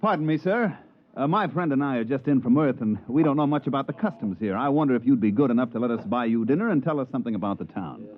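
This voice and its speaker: A man's voice: mid-range voice, expressive, fast. A slick American con man. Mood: ingratiating. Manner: smooth-talking, wisecracking, colloquial. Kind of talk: 1950s radio acting, narration and dialogue. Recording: muffled 1950s radio recording.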